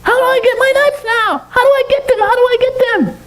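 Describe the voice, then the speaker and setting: A woman voicing a frantic, greedy little male monkey in a live-told fable, squeaky and shrill, repeating short exclamations insistently. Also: brisk. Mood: distressed.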